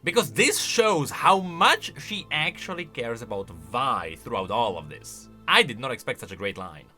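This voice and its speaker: A man's voice: mid-range voice, big pitch swings, moderate pace, pushed and bright, faint music behind. A man giving casual, exclamatory reaction commentary, thinking aloud as a video creator.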